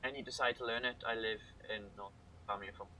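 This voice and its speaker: A man's voice: mid-range voice, steady tone, quick pace, bright, forceful tone, a little hiss or hum, low-quality recording. A young man with a Welsh accent, rambling casually to his chat while streaming a game from home on a headset. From Wales. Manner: rambling, chatty, talks to chat while playing. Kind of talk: casual live-stream commentary. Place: home room, indoors.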